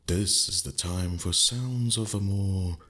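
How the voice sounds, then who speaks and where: Haughty male dragon played by a man for ASMR roleplay: extremely low, slow delivery, condescending and teasing, secretly fond. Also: bright, forceful tone, heavily acted. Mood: grudging, teasing. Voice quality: very deep, husky, breathy. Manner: slow, condescending, flustered tsundere pauses. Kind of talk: intimate roleplay ASMR acting.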